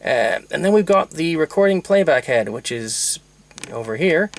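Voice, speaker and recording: A man presenting an unscripted technical demonstration in a methodical, hesitant way, often pausing and correcting himself as he explains. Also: fairly high pitch, animated, dry recording. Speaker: presenter demonstrating vintage tape recorders.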